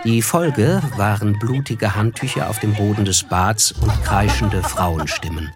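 A man's voice: on the low side, unhurried, over music, lightly acted. An actor playing a reflective, observant young man, narrating a radio drama in the first person with a wry, literary manner. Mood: sober recollection.

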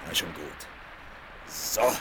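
An actor playing a calm, commanding frontier hero in a children's western radio play, speaking in measured, articulate phrases. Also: male voice, deep voice. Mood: calm, practical. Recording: crackly vinyl rip of radio play.

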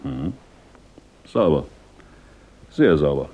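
An actor playing a gruff, suspicious homicide inspector, terse and insinuating, in a radio crime drama. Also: male voice, extremely low pitch, expressive, takes it slowly, dull audio, full character voice. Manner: terse, clipped, insinuating questioning.

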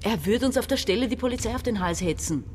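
A woman's voice: on the high side, expressive, fast talker, a little mellow, faint music behind, lightly acted. An actress playing a bitter, domineering woman in her forties in a radio play, insistent and condescending. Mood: insistent, impatient. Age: 40s. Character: domineering, bitter sister masterminding a robbery. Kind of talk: radio play dialogue and monologue.

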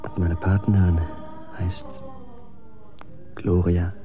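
An actor in a radio drama playing a tired, polite young man who speaks haltingly and quietly. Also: deep voice, huge pitch swings, muffled recording, over music, heavily acted. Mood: weary, grateful. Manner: halting, subdued, courteous. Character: weary, polite young man in endurance contest.

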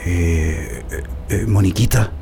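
An actor playing a kindly, respectful ceremony attendant with a Chilean accent in a radio drama, halting and deferential. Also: male voice, low-pitched, creaky, exaggerated voice. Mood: hesitant, deferential. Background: music.

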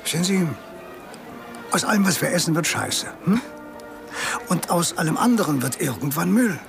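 A smug middle-aged district politician in a radio crime drama, with a gravelly voice over music. He talks down to his listener, scornful and evasive, with a sardonic edge. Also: on the high side, lively intonation, normal speed. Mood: dismissive scorn. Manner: patronizing, sardonic, chuckling asides. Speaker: actor playing a district administrator.

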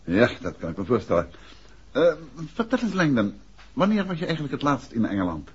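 An actor playing a composed, courteous but insistent detective in a 1960s Dutch radio drama. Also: male voice, medium pitch, wildly swooping pitch, fairly quick, slightly rough, exaggerated voice. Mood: calm, probing. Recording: muffled old radio recording, faint hiss.

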